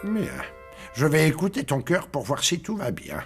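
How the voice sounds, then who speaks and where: A man's voice: a little high, wildly swooping pitch, normal speed, over music. A man voicing a polite, bewildered doctor in a cartoon, with a theatrical, puzzled delivery. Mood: baffled. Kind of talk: French cartoon dub acting.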